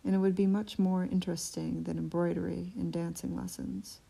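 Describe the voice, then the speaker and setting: A woman's voice: on the low side, little pitch movement, a little fast, creaky, warm tone, breathy edge, full character voice, rough recording. A woman reading aloud, voicing a headstrong, snippy young princess from a storybook who speaks eagerly and insists on her way. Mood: insistent.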